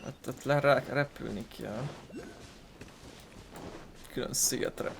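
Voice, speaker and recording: A man's voice: mid-range voice, takes it slowly, creaky, fairly bright tone, slight background noise. A man giving casual let's play commentary as he plays a video game. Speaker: gaming video maker. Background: game music.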